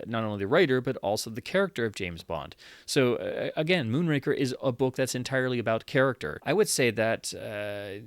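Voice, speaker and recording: A man giving an unscripted, analytical book review, speaking thoughtfully and haltingly as he works through his points. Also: on the low side, no room sound. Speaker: book reviewer, channel host.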